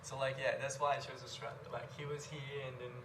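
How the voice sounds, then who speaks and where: A man's voice: mid-range voice, little pitch movement, quick pace. Young iranian student guy, persian accent, lots of um like, echoey noisy room. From Iran. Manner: hesitant, many likes and restarts. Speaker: engineering student. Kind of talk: casual chat with tutor. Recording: echoey room with clear noise.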